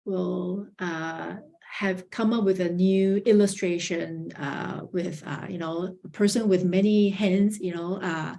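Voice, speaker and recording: A woman's voice: lowish voice, steady tone, slow, creaky, hardly any pauses, dark tone. A woman moderating an online panel over a video call, speaking with frequent hesitant fillers.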